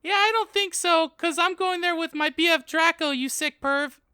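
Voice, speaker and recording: A very high-pitched, sharp teenage goth witch acted by a woman in a comic story reading. She is sulky and theatrical, delivering everything over the top. The sound is dry and close. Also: moderate pace, no room sound. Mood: angry, indignant. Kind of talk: dramatic reading of fan fiction.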